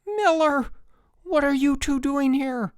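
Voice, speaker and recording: A hot-tempered young camp counselor, voiced by a man reading a storybook, who bellows orders with his voice jumping high. The recording is dry and close, with a gravelly edge. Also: sing-song, moderate pace, dry recording. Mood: shouting. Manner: shouting, bellowing.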